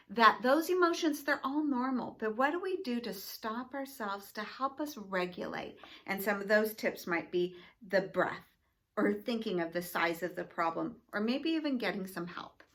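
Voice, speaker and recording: A woman's voice: mid-range voice, fairly bright tone. A woman with a mid-range voice speaks as a school educator to families and children. She explains in simple, encouraging terms. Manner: encouraging, simple teacherly phrasing.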